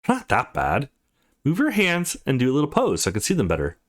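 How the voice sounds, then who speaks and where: A man's voice: mid-range voice, wildly swooping pitch, fast. A man reading the part of an easygoing, teasing gamer friend in a visual novel, playful and coaxing. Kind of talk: visual novel dialogue read aloud.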